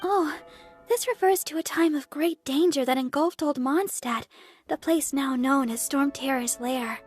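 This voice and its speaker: A woman's voice: sits high, unhurried, soft background music. A woman playing a sweet, earnest young church member in a video game, polite, bubbly and helpful. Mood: thoughtful. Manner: polite, bubbly, thinks aloud. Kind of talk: video game character acting.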